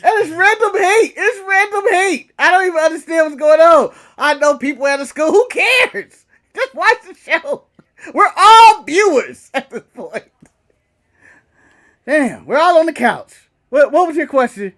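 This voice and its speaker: High-pitched American man bantering. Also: measured pace. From United States. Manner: animated, repeats phrases for emphasis. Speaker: wrestling podcast co-host. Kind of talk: casual banter and wrestling commentary.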